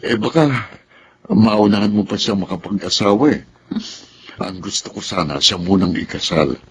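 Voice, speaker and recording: An actor with a Filipino accent playing a caring, gently nagging middle-aged father in a radio drama. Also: on the low side, normal speed, a little hiss or hum, close to an everyday voice. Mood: concerned, fatherly.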